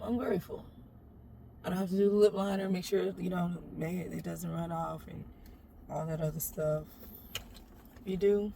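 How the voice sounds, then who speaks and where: Sassy woman with a gravelly voice. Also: mellow, slight background noise. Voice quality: deep voice. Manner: sassy, playful, talks straight to the viewer and calls them baby. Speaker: makeup vlogger. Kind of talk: chatty makeup tutorial. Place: inside a parked SUV.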